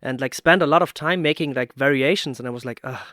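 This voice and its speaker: A man's voice: mid-range voice, quick pace, a bit bright, dry recording, clean studio sound. A man hosting a casual interview, telling his own experiences and acting out his reactions. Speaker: podcast host.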